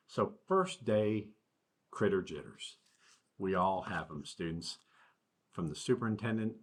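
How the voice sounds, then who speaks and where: A man's voice: lowish voice, slightly rough. A man in his fifties reading a children's story aloud with folksy asides, recorded in a small office on a webcam. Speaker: school superintendent. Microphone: webcam at desk distance.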